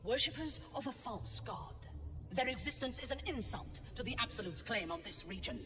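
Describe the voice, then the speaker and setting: A woman's voice: on the high side, lively intonation, moderate pace, close to an everyday voice. An actress playing a cold, cruel drow commander in a video game, imperious and menacing in her delivery. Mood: disdainful. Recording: distant sound, loud music behind.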